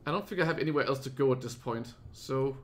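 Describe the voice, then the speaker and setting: A man's voice: mid-range voice, fast talker. A man from Denmark with a Danish accent, giving casual, chatty let's play commentary as a streamer who gets easily spooked.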